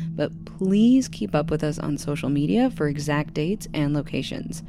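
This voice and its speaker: A woman's voice: low-pitched, background noise. A woman hosting a podcast, reading an announcement in a casual way. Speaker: podcast host and voice actor.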